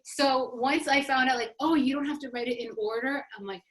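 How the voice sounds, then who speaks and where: A woman author on an online panel, chatting in an animated, jokey, self-deprecating way. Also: sits high, brisk, rough recording. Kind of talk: casual panel chat. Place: online video event.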